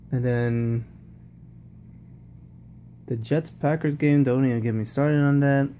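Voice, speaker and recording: Man, casual football vlog reactions, mellow dark tone, faint hiss behind. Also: medium pitch, lively intonation, takes it slowly, long pauses, dull audio. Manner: rambling, repeats "like, seriously". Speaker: football vlogger. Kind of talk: casual post-game commentary.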